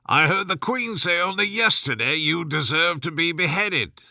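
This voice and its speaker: A man's voice: on the high side, animated, moderate pace, vocal fry, bright, forceful tone, dry recording, studio quality, heavily acted. A man voicing sulky, quarrelsome playing-card gardeners in a storybook.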